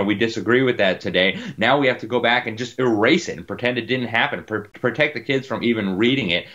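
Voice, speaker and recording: A man's voice: fairly low pitch, fairly bright tone. A man giving conversational political commentary in a casual way, often saying "you know," on slightly lo-fi audio. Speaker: commentator and columnist.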